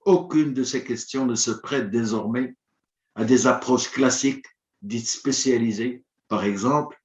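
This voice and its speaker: A man whose first language is Arabic, giving a formal prepared conference address in a measured, rhetorical manner, with long listed points. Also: mid-range voice. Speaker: conference speaker. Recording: roomy, dull lo-fi audio.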